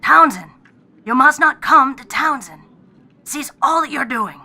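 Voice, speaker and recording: A woman's voice: sits high, lively intonation, measured pace, pushed and bright, exaggerated voice. An actress playing a menacing female caller in a radio play, giving curt, stilted warnings in a strained, harsh voice. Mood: urgent, stilted. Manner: stilted, clipped, commanding.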